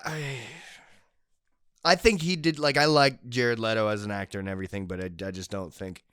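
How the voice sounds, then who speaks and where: A man in his twenties co-hosting a casual entertainment news chat, excitable and often repeating words as he restarts sentences. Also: fairly low pitch, moderate pace, clean tone, no echo, clean studio sound. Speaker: co-host of a gaming and film news show.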